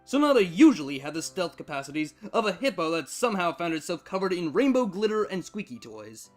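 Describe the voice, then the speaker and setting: A man narrating a fan fiction story in an expressive, scripted reading style. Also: high-pitched, brisk, soft background music.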